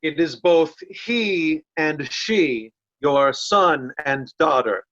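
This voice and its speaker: An actor playing a weary, loyal, stubborn nobleman in a Shakespeare read-through, speaking halting verse. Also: a little high, bright, forceful tone, dry recording, highs cut off, exaggerated voice. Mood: firm.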